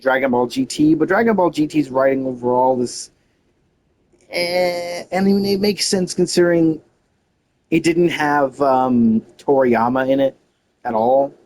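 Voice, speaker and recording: A man's voice: on the high side, steady tone, a little slow, a little mellow, a little hiss or hum. A man streaming a game with casual, informal commentary, rambling off on tangents. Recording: faint game music behind.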